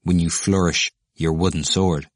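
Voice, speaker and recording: A man's voice: low-pitched, fairly even intonation, unhurried, no echo, studio quality. A man voicing a wary young male student who speaks in a guarded, polite way.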